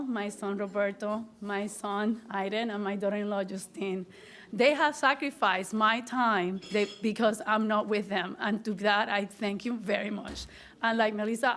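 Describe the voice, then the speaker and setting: A woman's voice: mid-range voice, fairly bright tone. A middle-aged woman with a strong Puerto Rican accent, giving an earnest, grateful acceptance speech as an honored teacher, often restarting her phrases. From Puerto Rico.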